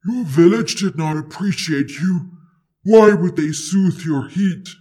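Slow, haughty, mellow-toned dragon. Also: medium pitch, slightly rough. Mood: possessive, haughty. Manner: imperious, haughty, stilted formal speech. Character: huge proud possessive dragon, easily offended, formal.

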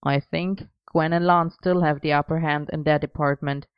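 A calm, dry-witted young man, as a woman reader plays him, pitched a little lower and spoken slowly. He stays even and wry. The recording is dry and a little dull. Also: clean tone, dry recording, natural voice.

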